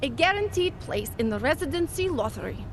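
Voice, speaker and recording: Game role: female company rep, earnest and persuasive, high register, lively swooping intonation, true-believer polish. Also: brisk, noisy background. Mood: earnest, inspiring. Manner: fervent, polished sales pitch delivery. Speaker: company representative. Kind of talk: video game dialogue acting.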